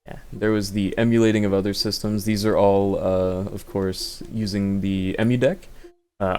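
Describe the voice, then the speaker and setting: A man's voice: deep voice, darkish tone. A man giving an unscripted, casual gaming showcase, rambling and thinking aloud as he goes. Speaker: video creator demonstrating handheld gaming.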